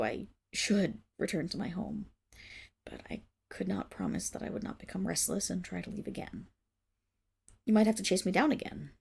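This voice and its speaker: Woman voicing a husky, haughty, flustered monstergirl noble. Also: lowish voice, darkish tone, full character voice. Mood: subdued, resigned. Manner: haughty and formal, stammering, breaking into breathy whispers. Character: proud, flustered tsundere Fenrir monstergirl of noble birth. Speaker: ASMR roleplay performer. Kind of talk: ASMR roleplay acting, addressed to the listener.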